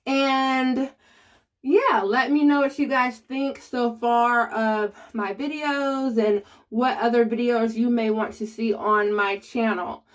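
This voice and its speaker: Woman vlogger, slight lisp, unhurried and higher-voiced, chats straight to camera. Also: steady tone. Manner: chatty, addresses viewers directly. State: slight lisp from new aligners. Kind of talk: casual how-to vlog.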